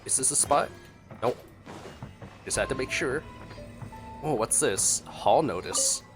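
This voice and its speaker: A man's voice: medium pitch, lively intonation, slow pace. A man giving casual gameplay commentary as a streamer, slightly under the weather. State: mildly sick. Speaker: game streamer. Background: game music.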